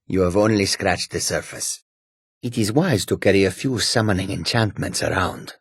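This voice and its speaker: A male actor playing a courteous, sly humanoid cat trader in a fantasy video game, with a gravelly, husky voice and measured, formal speech. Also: on the low side, no echo, studio quality, full character voice. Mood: thoughtful. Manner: measured, formal, refers to himself in third person.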